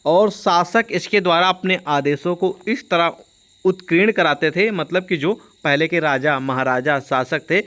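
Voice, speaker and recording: Male online teacher, slightly high pitch, informal and explanatory, repeats points with frequent check-ins. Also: unhurried, a bit bright. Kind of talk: informal exam-prep lesson.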